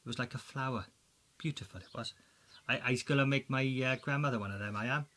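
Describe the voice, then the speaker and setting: A man with a Welsh accent presents a school quiz for children in a chatty, excitable way, full of jokey asides. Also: mid-range voice, fast. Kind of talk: playful quiz commentary for children.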